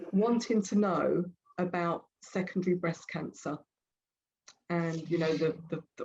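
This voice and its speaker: A woman's voice: lowish voice, a little mellow, dull audio. A woman in her fifties from England, taking part in a casual discussion in an earnest, slightly hesitant way. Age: 50s. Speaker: round table guest.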